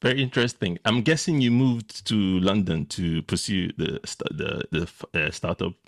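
A man's voice: low-pitched, moderate pace, gravelly, no echo, studio quality. A man hosting a casual interview podcast, informal and agreeable in his way of talking. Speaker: podcast host.

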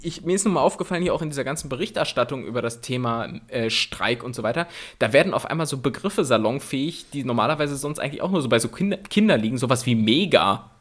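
A man's voice: medium pitch, animated, quick pace, a bit bright. A man co-hosting a casual comedy podcast, rambling and laughing through colloquial banter. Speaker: podcast co-host.

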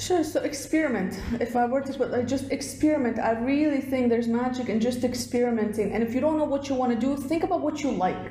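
A young woman from Russia, a podcast guest talking in quick, animated bursts with plenty of fillers. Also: sits high, roomy sound, a little hiss or hum, rough recording. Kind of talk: casual interview chat.

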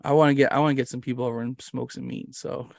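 Man, Canadian accent, podcast host, casual chat, rambling, self-deprecating, medium pitch, very dry sound. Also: level tone, fast talker, vocal fry, a little mellow, no room sound.

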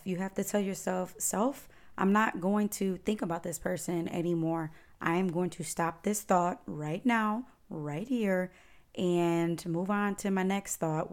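A woman, hosting a solo podcast and chatting warmly as she answers listener questions. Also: on the low side. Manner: chatty, encouraging, advice-giving. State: slightly raspy voice. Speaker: podcast host.

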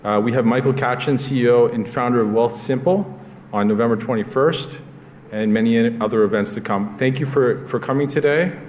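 A man's voice: medium pitch, normal speed, darkish tone, slight background noise. A man hosting a speaker event, making plain closing announcements with frequent hesitations. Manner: plain, with frequent uh fillers and restarts. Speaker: host of a speaker luncheon.

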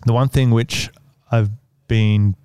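A man's voice: medium pitch, fairly even intonation, takes it slowly, a little mellow, clean tone, dry recording, studio quality. A man with a stutter, chatting as a podcast guest in a hesitant, filler-heavy way. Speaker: guest, business owner. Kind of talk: casual podcast conversation.

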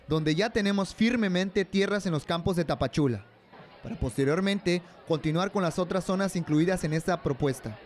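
A man's voice: high-pitched, natural voice. A young man with a Mexican accent playing a smug, pompous, ruthless president in an amateur radio drama. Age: young adult.

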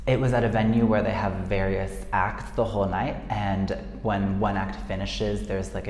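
Man, podcast host, chatty, self-deprecating, gravelly fry, roomy echo with a long tail. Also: on the low side, darkish tone. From United States. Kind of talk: casual solo podcast monologue. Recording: echoey room with long reverb and faint noise.

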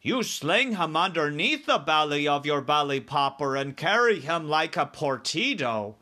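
A man voicing a big, friendly, simple giant in a storybook, earnest and excitable, with mangled grammar and muddled made-up words. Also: a little high, a little slow, pitched above the performer's own voice, heavily acted. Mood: eager. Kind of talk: storybook character voice.

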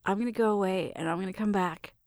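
A woman acting as a shy but eager young female roommate with a crush, speaking close and dry in an intimate roleplay. She stammers, restarts her sentences and gets giggly with nerves, with some gravel in an average-pitched voice. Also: quick pace, darkish tone, no room sound, natural voice. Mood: eager, rambling. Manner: nervous, stammering, giggly, self-interrupting. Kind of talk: intimate roleplay audio addressed to the listener.

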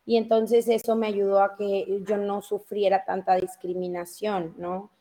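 A woman's voice: medium pitch, level tone, a little slow, dark tone. A woman from Mexico with a Mexico City accent, hosting a casual live news chat and talking freely with many fillers. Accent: Mexico City Spanish. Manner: talkative, many fillers and self-interruptions. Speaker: host.

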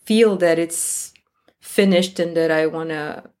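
A woman's voice: on the low side, slow pace, a little mellow. A woman guest musician answering interview questions tentatively, often trailing off with uncertainty.

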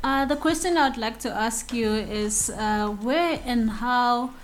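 Woman interviewer with a higher voice. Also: slow, slight background noise. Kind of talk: interview questions.

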